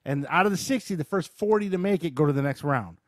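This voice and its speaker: A man with a slightly high voice talks through video games as a podcast host. The sound is very dry and close, with no room echo. His delivery is informal and slangy, explaining things in short, casual bursts. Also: expressive, fast talker. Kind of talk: casual chat, game review.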